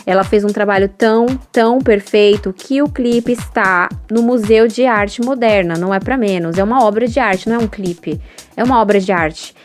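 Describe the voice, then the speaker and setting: A woman's voice: mid-range voice, normal speed, soft background music. A Brazilian woman co-hosting a fan podcast, chatting casually in an effusive, colloquial way. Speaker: podcast co-host.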